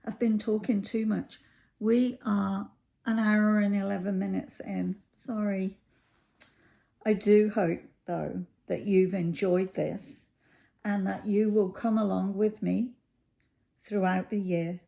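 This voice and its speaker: A middle-aged woman with a croaky voice, chatting casually to camera at home, rambling with many ums and pauses. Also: mid-range voice, steady tone, dark tone. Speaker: cross stitch YouTuber.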